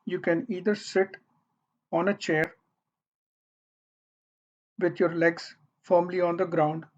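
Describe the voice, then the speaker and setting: Very slow meditation guide, muffled recording. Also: medium pitch, little pitch movement. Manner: gentle, deliberate phrasing with long pauses. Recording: dull, muffled lo-fi audio.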